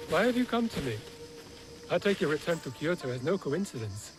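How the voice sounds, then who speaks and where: A man's voice: on the high side, big pitch swings, brisk, music in the background. An actor playing a courteous, devout European man in a video game, speaking in a formal, reflective way. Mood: solemn, confiding. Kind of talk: video game cutscene acting.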